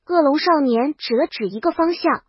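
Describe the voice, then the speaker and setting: Woman narrator, very high voice, scripted storytelling, dry dull lo-fi recording. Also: normal speed, dry recording. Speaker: audiobook narrator.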